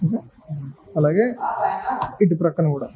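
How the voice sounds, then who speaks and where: A man's voice: fairly high pitch, animated, moderate pace, warm tone, poor audio. A man giving a casual hands-on tutorial, explaining step by step in short instructional phrases. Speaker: presenter of a how-to video.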